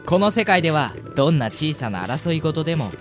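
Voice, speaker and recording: Someone doing a polite boy rabbit guide from a fantasy radio play, very animated, explains everything, music behind. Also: mid-range voice, fairly quick, lightly acted. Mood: earnest explaining. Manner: polite, explanatory, formal speech. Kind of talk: amateur radio drama acting.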